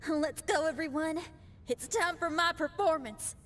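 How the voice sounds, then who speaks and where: A woman's voice: high voice, measured pace, fairly bright tone, a little hiss or hum. A woman playing an upbeat, confident young rock musician in a video game, sincere and casual. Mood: excited, heartfelt. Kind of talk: video game character acting. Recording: faint music behind.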